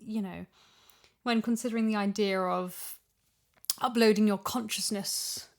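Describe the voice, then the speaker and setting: A woman in a casual discussion, explaining her opinions in an animated way. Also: medium pitch, a little slow. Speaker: podcast guest, audio drama voice actor.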